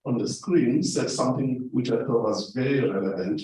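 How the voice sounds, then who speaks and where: A man making an unscripted contribution to a formal discussion, searching for words and repeating phrases in a halting way. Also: mid-range voice, dark tone, slight background noise. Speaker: academic meeting participant. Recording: distant mic in very echoey room.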